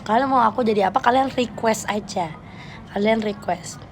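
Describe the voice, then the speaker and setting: A young woman with a Jakarta Betawi slang accent chatting casually with viewers on a live stream, playful and quick to laugh. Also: on the high side, a little hiss or hum. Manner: chatty, playful, laughs often, switches registers.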